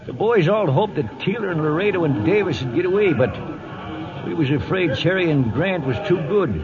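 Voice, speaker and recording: Actor as folksy old cowhand, tinny radio sound. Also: male voice, on the high side, animated, a little fast, natural voice. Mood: wry recounting. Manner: rustic, plainspoken, drawling storyteller. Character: folksy, loyal old cowhand telling the tale. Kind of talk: 1940s radio western narration and acting.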